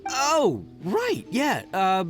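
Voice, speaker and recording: An actor playing a brash, big-hearted young oni gang leader in a video game, boisterous and rambling with slangy swagger. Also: male voice, high voice, wildly swooping pitch, extremely slow, over loud music, full character voice. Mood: sheepish, breezy. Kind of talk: animated game voice acting.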